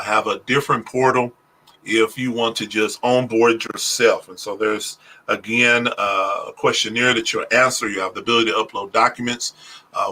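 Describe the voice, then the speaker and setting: A man who is a pastor and a tax preparer, giving a casual livestream talk on taxes. He speaks slowly and deliberately, with many "uh" fillers. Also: fairly low pitch, pushed and bright, slightly rough. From Oklahoma, US. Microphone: phone.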